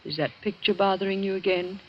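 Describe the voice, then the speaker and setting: An actress playing a caring, reassuring woman who soothes and gently coaxes in a 1940s radio drama. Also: medium pitch, fairly even intonation, quick pace, lightly acted. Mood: concerned. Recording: dull, noisy vintage radio recording.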